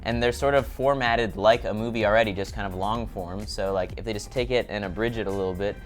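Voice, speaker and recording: A man co-hosting a casual gaming chat, with a deadpan, teasing way of talking. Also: lowish voice, fast, faint music behind. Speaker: co-host.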